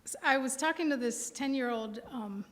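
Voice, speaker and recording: An American woman in her forties, a poet giving a live reading with deliberate phrasing and pauses. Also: high-pitched, a bit bright. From Milwaukee, American Midwest. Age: 40s. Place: indoor reading before a live audience. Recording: reverberant hall sound.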